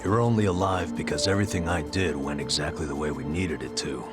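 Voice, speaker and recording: A man's voice: rumbling low, brisk, loud music behind the voice. A man playing a skeptical, frank middle-aged underground caregiver in a video game cutscene.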